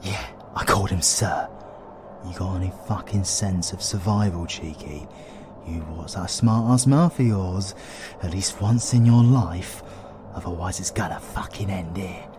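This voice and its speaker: A man's voice: sits low, unhurried, noisy background. A British man playing a cocky, sardonic supervillain antihero in an audio roleplay, with blunt, sweary, colloquial banter. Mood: awed, wary.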